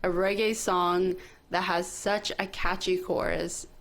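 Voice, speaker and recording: A woman's voice: on the low side, little pitch movement, a little slow. A woman hosting a talk show, presenting a list in a casual, chatty style.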